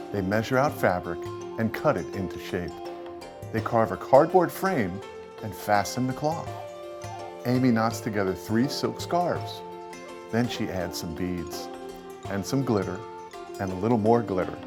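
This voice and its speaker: Reader for a children's storybook, scripted narration, clear music bed underneath. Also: medium pitch, expressive, measured pace, long pauses.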